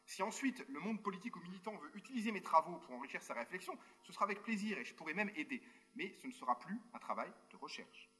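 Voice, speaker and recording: A male academic reads a prepared talk at a brisk clip, his voice sitting a bit high and sounding bright and forceful. His phrasing is formal and written, broken by quick spoken asides and small hesitations. He comes through a remote video call link. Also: lively intonation. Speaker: university researcher giving a conference talk.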